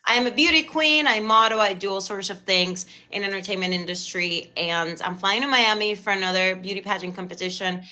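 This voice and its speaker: A woman's voice: mid-range voice, steady tone, a little fast, hardly any pauses, bright, forceful tone, poor audio. A woman in her thirties from Cuba with a Cuban accent, hosting a live stream talk show in an effusive, chatty way. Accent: Cuban Spanish. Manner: effusive and chatty, repeats thanks.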